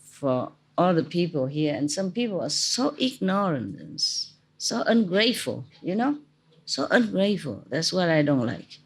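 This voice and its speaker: A woman teacher hosting a gathering, speaking in a scolding, repetitive way and later teasing the guests. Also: deep voice. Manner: scolding then teasing, repeats words for emphasis. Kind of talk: informal Q&A with an audience.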